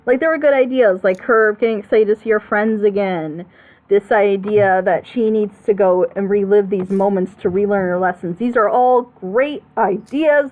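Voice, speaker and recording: Female reaction host, emphatic and rambling, casual delivery, phone-like narrow sound. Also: medium pitch. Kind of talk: casual chat, opinionated commentary.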